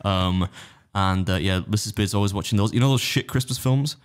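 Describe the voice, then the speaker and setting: A man's voice: on the low side, a little fast, clean tone. An English man hosting a podcast, chatting casually in a rambling, jokey way and often laughing at himself. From England. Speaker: podcast host. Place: podcast studio.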